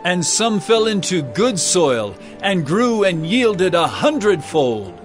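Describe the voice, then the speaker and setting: An earnest teacher of authority in an audio Bible drama. His fairly high male voice rises and falls expressively, solemn and deliberate, over prominent music. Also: big pitch swings, heavily acted. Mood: earnest teaching.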